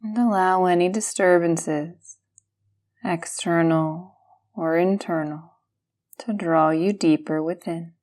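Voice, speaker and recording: A woman guiding a yoga nidra meditation, speaking gently and soothingly in calm, unhurried instructions with pauses between phrases. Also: on the low side. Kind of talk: guided meditation.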